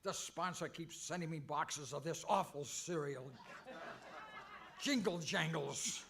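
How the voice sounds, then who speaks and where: An actor playing a bumbling, earnest, mildly exasperated cowboy hero in a comic old-time radio western. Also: male voice, fairly high pitch, slow pace, vocal fry. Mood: wry complaining. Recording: faintly noisy live stage recording.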